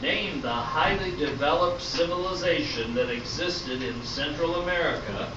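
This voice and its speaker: A man's voice: on the high side, moderate pace, close to an everyday voice. A man playing an upbeat, patient game show host in an amateur comedy radio play, with a showy announcer delivery. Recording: roomy, distant, noisy dull stage recording.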